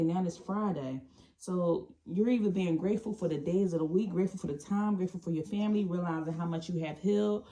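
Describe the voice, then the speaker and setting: Mellow low-voiced woman tarot reader. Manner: meandering, repeats and restarts phrases. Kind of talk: intuitive tarot reading to camera.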